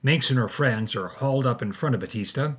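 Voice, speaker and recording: Husky man narrating a story. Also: medium pitch, a little fast. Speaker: storytelling podcast host. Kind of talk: scripted narration.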